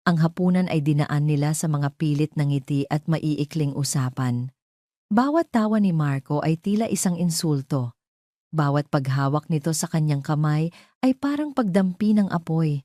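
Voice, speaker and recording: A woman with a Filipino accent narrates a scripted dramatic story in a measured storytelling manner. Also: low voice, expressive, warm tone, no room sound, studio quality.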